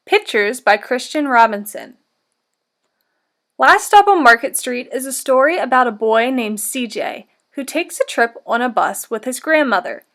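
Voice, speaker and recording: A woman with a high-pitched voice reads a picture book aloud as a teacher would for children. Her delivery is deliberate and instructive, made to be followed by young listeners. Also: a bit bright. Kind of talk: interactive storybook read-aloud for children.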